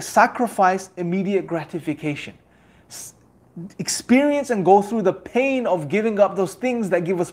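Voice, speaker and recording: A young man gives a motivational religious talk. His voice sits a little higher than most men's. He speaks earnestly and exhorts his listeners, building his points through rhetorical repetition. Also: darkish tone.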